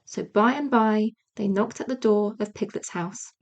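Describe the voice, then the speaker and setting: A woman's voice: mid-range voice, moderate pace, dull audio. A woman narrating a children's audiobook as a scripted storyteller.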